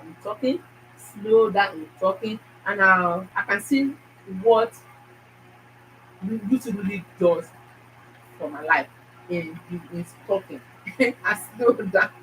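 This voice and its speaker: A woman's voice: mid-range voice, fairly bright tone, far from the mic, a little hiss or hum, poor audio. A woman with a Nigerian accent hosting her own channel, talking emphatically to viewers and repeating her phrases. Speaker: YouTube channel host. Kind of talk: casual motivational talk to viewers.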